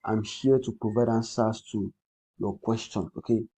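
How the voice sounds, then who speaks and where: A man with a Nigerian accent, a native Yoruba speaker, hosting a casual crypto livestream in a husky voice, repeating phrases and often checking in with "okay". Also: fairly low pitch, steady tone, moderate pace, mellow. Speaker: crypto trading livestream host. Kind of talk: casual livestream commentary, answering comments.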